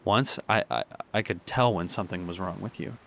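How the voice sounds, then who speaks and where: A young man speaks a radio drama monologue in a somewhat low voice. He halts, repeats himself and sighs as he pleads. A faint ambient hiss sits under the recording. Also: vocal fry, fairly bright tone. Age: young adult. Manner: halting, sighing, pleading. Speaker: monologue performer. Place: beside the sea.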